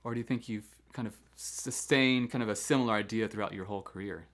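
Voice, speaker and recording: A man's voice: on the low side, quick pace, a bit bright. A man hosting an interview for music educators, asking long, rambling questions with an eager manner. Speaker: podcast host and music educator.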